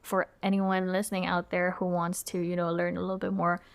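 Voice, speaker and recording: A woman's voice: fairly low pitch, monotone, brisk, vocal fry. Young Indonesian woman, reflective guest. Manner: thoughtful, frequent fillers and restarts. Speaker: podcast guest. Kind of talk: reflective conversational interview.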